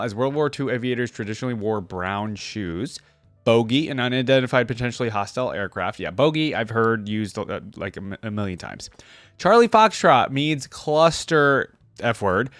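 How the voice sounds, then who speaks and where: A man's voice: medium pitch, a bit bright, no echo. Casual man, close dry sound. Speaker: YouTube reaction host. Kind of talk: casual reaction commentary.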